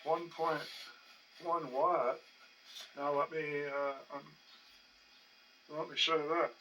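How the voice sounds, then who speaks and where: Older guy puttering with his hobby stuff, kinda high bright voice, mumbles to himself, sounds away from the mic. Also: flat delivery, highs cut off. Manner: halting, muttering to himself. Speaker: hobbyist narrating his own projects. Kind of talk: casual rambling commentary while tinkering. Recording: distant mic with faint background noise.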